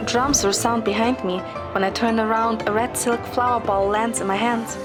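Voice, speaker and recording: A woman's voice: a little high, steady tone, normal speed. A woman reading scripted first-person story narration. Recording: music behind the voice. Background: music.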